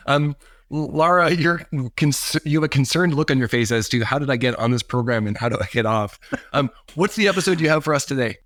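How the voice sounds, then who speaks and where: A man's voice: medium pitch, animated, fairly quick, fairly bright tone, dry recording. A man hosting an academic podcast, a physician with a wry, teasing manner. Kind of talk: podcast discussion.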